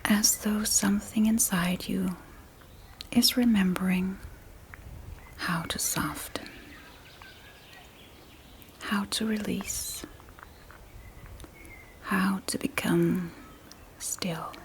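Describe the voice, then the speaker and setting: A woman softly guiding a sleep meditation in a breathy ASMR whisper, soothing and gentle, with long pauses between short phrases. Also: medium pitch, fairly even intonation, slight background noise. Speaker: meditation guide.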